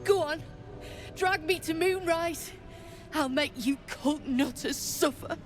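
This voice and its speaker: Blunt, defiant gnome rebel, higher-pitched. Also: female voice, slow, faint music behind. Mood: defiant, angry. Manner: blunt, combative, cynical. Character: defiant, foul-mouthed gnome woman freedom fighter. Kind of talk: video game voice acting.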